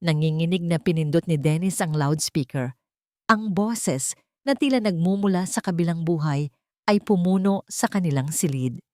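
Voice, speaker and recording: Female audiobook narrator, dark mellow tone, dramatic scripted delivery, very dry close sound. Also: fairly low pitch, moderate pace, dry recording.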